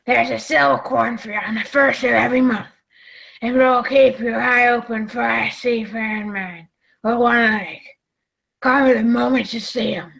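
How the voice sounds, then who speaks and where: A woman playing a gruff, bossy old pirate sea captain in a radio play, with a gravelly voice and salty, commanding sailor talk. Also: fairly high pitch, steady tone, normal speed, a bit bright. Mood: wary, secretive.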